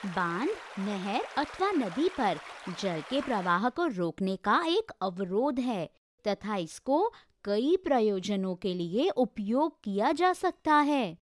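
A woman's voice: mid-range voice, sing-song, slow, no room sound. A woman giving formal, textbook-like scripted explanations. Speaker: narrator.

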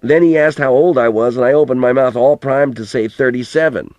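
A man's voice: medium pitch, a little fast, a little mellow, dry recording, muffled recording, natural voice. A man reading a storybook as an elderly farmer grandfather, plain-spoken and folksy, telling rambling, earnest reminiscences with some mild swearing. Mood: reflective. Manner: colloquial rural storytelling, plain-spoken, mildly profane.

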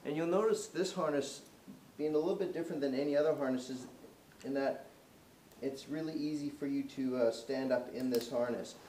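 A man's voice: medium pitch, normal speed, warm tone. A man in his forties walks through a product's features in a casual, unscripted demonstration, with fillers and restarts as he moves about. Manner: moving around while demonstrating. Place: indoor showroom, medium-sized room. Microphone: camera mic from a distance. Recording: roomy echo, distant camera mic, faint hiss.

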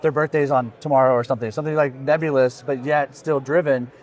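A man's voice: medium pitch, fairly even intonation, quick pace. A man in his forties conducting a casual interview, with informal, rambling phrasing. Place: busy indoor expo hall booth. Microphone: podcast microphone on stand.

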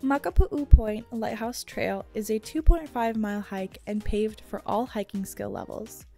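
Female travel narrator, scripted guide read, warm low-brightness tone with gravelly fry, faint music behind. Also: unhurried.

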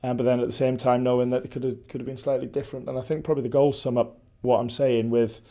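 A Welsh football coach in his forties answers post-match questions indoors, close to the mic. His voice is mellow and dark, and his delivery stays level, with frequent restarts and fillers as he thinks aloud. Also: medium pitch, flat delivery, quick pace. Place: indoor press backdrop at a stadium.

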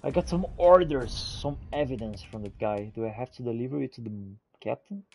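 A man giving expressive, exclamatory in-character gameplay commentary, thinking aloud as he roleplays. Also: medium pitch, fairly quick, gravelly, slight background noise. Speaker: gamer roleplaying as a character in a playthrough.

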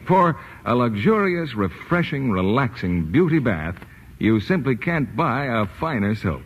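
A man's voice: medium pitch, very animated, unhurried, slight background noise. A man announcing in the scripted sales style of a 1940s radio advertisement. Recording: muffled old radio, long hall reverb.

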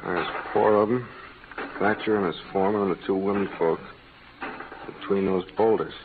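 An actor playing a steady, righteous American cowboy in a radio western, terse and commanding. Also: male voice, low voice, normal speed. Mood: cool, watchful. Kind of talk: 1940s-50s western radio acting. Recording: noisy, narrow-band old radio recording.

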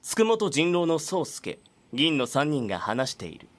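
A man's voice: a little high, very animated, normal speed. A man narrating a voice drama in scripted storytelling style.

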